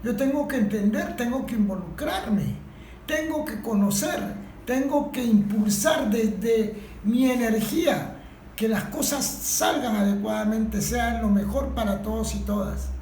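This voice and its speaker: Woman with a Venezuelan accent, speaking off the cuff and insistently at a group discussion, fairly high, faint noise behind. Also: unhurried, warm tone. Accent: Venezuelan Spanish. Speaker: industrial worker. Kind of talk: impromptu contribution to a group discussion. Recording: echoey room with faint background noise.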